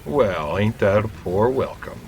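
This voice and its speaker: A man's voice: fairly low pitch, animated, a little slow, a little mellow. A man playing a small, menacing thug in an audio drama, slangy, mocking and threatening over money.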